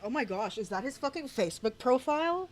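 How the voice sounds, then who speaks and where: A woman's voice: high voice, expressive, brisk, faint music behind. Crude rambling young woman streamer. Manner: rambling, crude, profane, laughing asides. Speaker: streamer reacting to videos. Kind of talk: casual reaction commentary.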